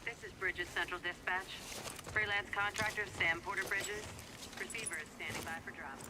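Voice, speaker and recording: An impersonal woman dispatcher making announcements in a game, played by an actress. She is clipped and formal, and her voice sits in very loud background noise. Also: fairly low pitch, steady tone, moderate pace, natural voice. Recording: very noisy background.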